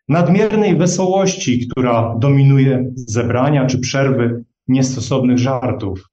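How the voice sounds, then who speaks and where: A man delivers an earnest, measured sermon and keeps turning to his listeners as brethren. His voice is mellow and sits at an ordinary pitch. The dull, lo-fi recording catches him from a distance in an echoey hall. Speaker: lay preacher.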